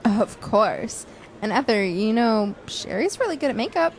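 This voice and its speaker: A woman playing a supportive student journalist, gentle and lightly sarcastic, in naturalistic audio drama. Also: a little high, very animated, moderate pace, slight background noise, low-quality recording. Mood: friendly, curious. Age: young adult.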